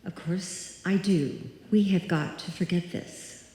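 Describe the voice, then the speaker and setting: A woman acting a bossy, unflappable lady in a radio suspense play. Her voice is mellow but firm and dismissive, ringing heavily through a live hall. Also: lowish voice, slow. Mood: firm, insistent. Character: brisk, stubborn woman refusing to be frightened. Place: live stage performance in a hall.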